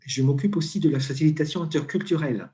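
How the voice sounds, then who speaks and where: A middle-aged man with an Italian accent, speaking French as an interview guest and pausing often with fillers and restarts. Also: a little high, mellow. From Italy. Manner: hesitant, many fillers and restarts. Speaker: interview guest, coach and HR consultant. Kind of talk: conversational interview.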